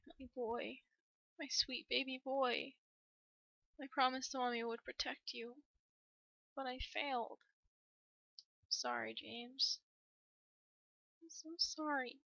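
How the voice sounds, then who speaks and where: A woman's voice: mid-range voice, level tone, measured pace, pushed and bright, no echo, lo-fi sound. A woman voicing a distraught, haunted parent telling a horror tale in a dramatic, mournful reading. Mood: grieving.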